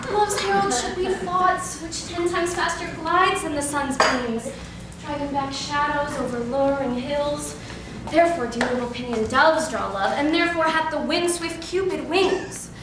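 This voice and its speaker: Heard in a very echoey room with noise behind, a woman acts an eager young noblewoman in love. Her very high voice pleads impatiently in a declamatory classical theatre manner. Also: measured pace. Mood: impatient longing. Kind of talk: classical stage acting of Shakespeare. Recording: echoey room, distant mic, clear noise.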